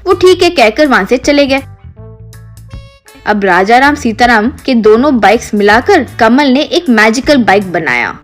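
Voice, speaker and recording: A woman's voice: a little high, big pitch swings, unhurried, faint music behind. A woman narrator reading a scripted story.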